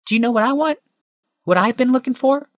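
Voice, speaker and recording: A man's voice: extremely high pitch, a little fast, no room sound, clean studio sound, pitched above the performer's own voice. A man voicing a passionate, idealistic woman in an audiobook, speaking with urgent emphasis and yearning intensity. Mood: excited, yearning.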